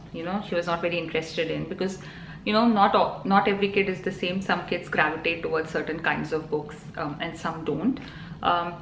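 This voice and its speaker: A woman in her thirties with an Indian accent talks casually to camera, filling pauses with ums and you-knows. Her voice sits a little low. The room is echoey, with a faint hum of ambience behind her. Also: fairly bright tone. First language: Telugu. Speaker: parenting vlogger.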